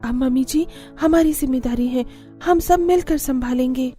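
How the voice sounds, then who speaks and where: Wistful young cartoon daughter-in-law female actor, high-pitched and soft-toned, with music underneath. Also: moderate pace, natural voice. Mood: earnest, resolute. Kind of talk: Hindi cartoon story acting.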